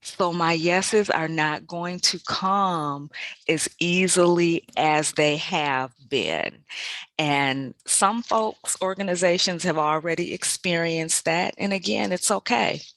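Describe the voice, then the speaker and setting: A woman in her fifties, a podcast host, reflecting in a measured, deliberate way and repeating phrases for emphasis. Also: on the low side, a bit bright, no room sound. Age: 50s. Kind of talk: reflective personal monologue.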